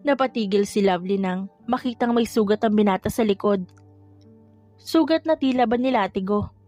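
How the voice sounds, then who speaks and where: A woman's voice: mid-range voice, moderate pace, a little mellow. A woman reading scripted romance fiction as an audiobook narrator. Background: music.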